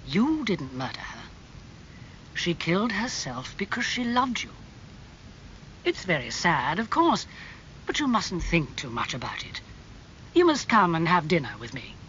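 A man voicing a suave, worldly gentleman in an audiobook, calm, languid and cynical as he talks. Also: on the high side, unhurried, dull audio, slight background noise. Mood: calm, soothing. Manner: calm, smooth-talking, languid.

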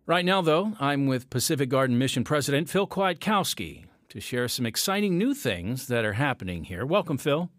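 A man's voice: mid-range voice, sing-song, normal speed, hardly any pauses, fairly bright tone, dry recording, clean studio sound. A man hosting a radio program with scripted announcements and interview questions. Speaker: host.

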